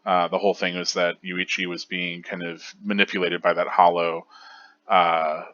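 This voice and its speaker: A man's voice: deep voice, little pitch movement, normal speed, sharp tone, lo-fi sound. A man hosting a manga reread show, giving casual analytical commentary in an enthusiastic, rambling way with lots of fillers and restarts.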